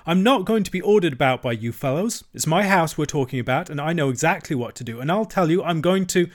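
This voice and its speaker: A man voicing a vain, excitable storybook toad with a boastful, melodramatic way of talking. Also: medium pitch, normal speed, close to an everyday voice. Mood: indignant. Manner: self-congratulating, melodramatic.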